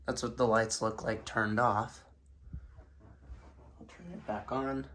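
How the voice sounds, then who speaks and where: A man's voice: medium pitch, steady tone, takes it slowly, fairly bright tone, slight background noise. Hesitant man who has been sick. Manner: hesitant, unsure, lots of ums. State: sick, voice sounds off. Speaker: ceiling fan video maker. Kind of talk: casual filmed show-and-tell. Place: home room indoors.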